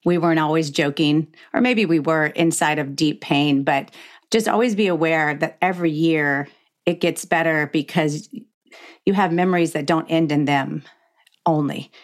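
A woman's voice: low-pitched, fairly even intonation, moderate pace. A middle-aged woman hosting a candid conversational interview, speaking frankly and emphatically with the odd mild swear. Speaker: podcast host.

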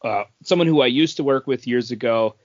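A man's voice: medium pitch, fairly quick, no room sound, lo-fi sound. A man hosting a podcast, chatting casually in a rambling way about craft beer. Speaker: podcast host.

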